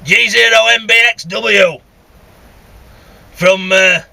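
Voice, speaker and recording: A man's voice: sits high, steady tone, takes it slowly, gravelly, sharp tone, no room sound. A man with a Northern English accent rambling casually and bluntly in a vehicle, grumbling and swearing as he goes. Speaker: CB radio vlogger. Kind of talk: casual rambling monologue while driving. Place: inside a vehicle.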